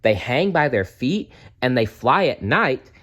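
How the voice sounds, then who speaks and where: A man voicing a young female bat pup, eager and excitable, with squeaky exclaiming delivery in a storybook reading. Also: unhurried. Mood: excited.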